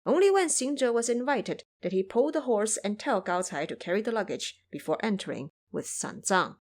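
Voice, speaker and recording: Animated woman narrating a story. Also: mid-range voice, normal speed.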